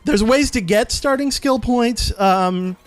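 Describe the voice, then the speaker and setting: A man's voice: very high-pitched, takes it slowly. A man giving casual gameplay commentary as a let's play host, explaining in a rambling, hesitant way. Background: game menu music.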